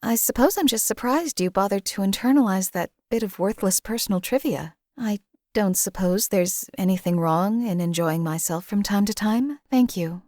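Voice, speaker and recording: A woman voicing a cold, detached female assassin in a game, speaking in a measured, formal, matter-of-fact way. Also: mid-range voice, dark tone, no echo, studio quality, natural voice. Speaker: game companion character. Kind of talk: voiced game companion lines.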